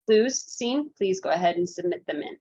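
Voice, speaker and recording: A woman's voice: mid-range voice, lively intonation, normal speed, mellow, slightly rough. A woman giving an informal update on a conference call. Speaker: public health lab presenter. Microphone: conference call connection. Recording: slightly dull conference call audio.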